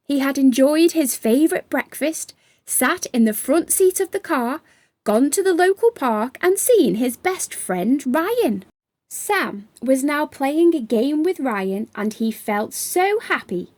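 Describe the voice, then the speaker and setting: A woman's voice: high voice, a little mellow, no echo. A woman narrating a children's storybook expressively, as a storytime host.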